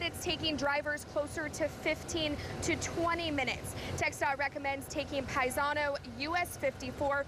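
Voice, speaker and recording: American woman, live TV field reporter, high voice, noisy downtown street with traffic.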